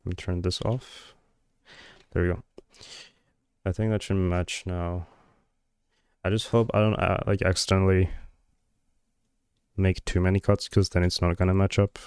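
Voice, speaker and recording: Low, flat-voiced man musing. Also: normal speed, pauses often, smooth, no room sound, studio quality. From Georgia. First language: Georgian. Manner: hesitant, many fillers and trailing thoughts. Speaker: streamer. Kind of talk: thinking aloud while working on a live stream.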